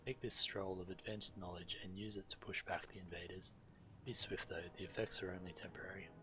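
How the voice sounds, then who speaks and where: Low, bright-voiced man reading game dialogue. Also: little pitch movement, fairly quick, slight background noise, low-quality recording. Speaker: game streamer.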